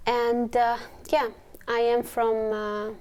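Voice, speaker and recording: A young woman, telling her own story as an interview guest, pausing often with fillers. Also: fairly high pitch, flat delivery, darkish tone, smooth. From Afghanistan. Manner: hesitant, many fillers. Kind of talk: personal story in conversation.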